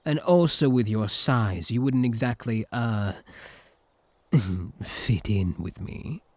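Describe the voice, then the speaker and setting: A man's voice: medium pitch, slow pace, a little mellow, no room sound. A man with a British accent playing a smug, teasing, possessive incubus in a comic audio roleplay. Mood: mocking, amused. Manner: mocking, flirtatious banter.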